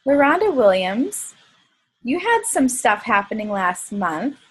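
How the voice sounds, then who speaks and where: A woman's voice: fairly high pitch, sing-song, breathy edge, poor audio. A woman hosting a team video call, chatting in an upbeat way and praising members. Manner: upbeat, praising, quick asides. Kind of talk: encouraging team call chat.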